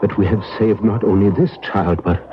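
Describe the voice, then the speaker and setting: A dull, hissy 1970s radio drama recording carries a male actor as a grave older vampire hunter. His voice is low and deliberate. He gives instructions with solemn, urgent authority. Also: fairly even intonation, close to an everyday voice.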